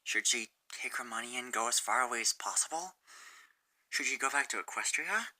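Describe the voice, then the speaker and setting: A woman's voice: a bass voice, animated, fairly quick, sharp tone. A woman reading fan fiction aloud as a narrator, in a dramatic storytelling style.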